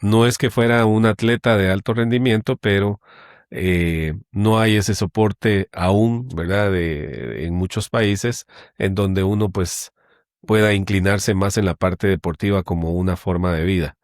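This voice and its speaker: Low-voiced man, hesitant and measured. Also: dry recording, studio quality. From Guatemala. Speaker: guest, company general manager. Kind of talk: conversational interview.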